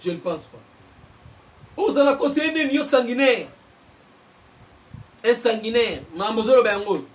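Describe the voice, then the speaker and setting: A Congolese man, a journalist and political analyst, giving impassioned commentary and getting louder as he presses his points. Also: very high voice, animated, moderate pace, pauses often, recorded from a distance. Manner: heated, mixes French and Lingala, bursts louder on points.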